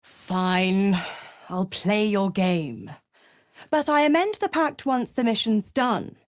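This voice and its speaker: A woman's voice: mid-range voice, takes it slowly, dry recording, clean studio sound, close to an everyday voice. An actress playing a seductive, scheming female devil in a video game, mocking and purring with condescending endearments. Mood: smug, scheming. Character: seductive, scheming, gloating female devil who makes pacts. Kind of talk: theatrical game character dialogue.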